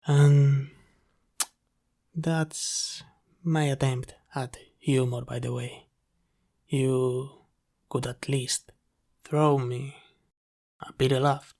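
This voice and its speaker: A man playing a gentle, apologetic sleep paralysis demon in a soft, hesitant, reassuring ASMR roleplay. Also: medium pitch, little pitch movement. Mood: awkwardly joking. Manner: soft-spoken, hesitant, reassuring, pausing often.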